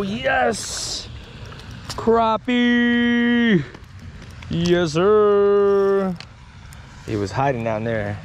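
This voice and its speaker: Guy narrating his pond fishing on a head cam, outdoor mic noise, gets hyped over catches. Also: fairly high pitch. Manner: excitable, talks to viewers. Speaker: fishing vlogger. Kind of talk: casual running commentary while fishing. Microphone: head-mounted action camera. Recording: outdoor camera audio with noise.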